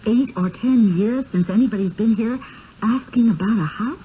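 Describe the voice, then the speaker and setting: Woman acting mellow, hopeful radio-drama wife. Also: a little high, moderate pace, lightly acted. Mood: earnest. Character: gentle, hopeful wife charmed by a village. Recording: dull, noisy old radio broadcast.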